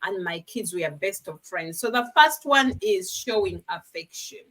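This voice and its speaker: A woman from Uganda joins a live online panel as a guest. Her voice is bright and forward, and she talks in an effusive way, laughing easily. It comes through a video call. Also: medium pitch, expressive, moderate pace.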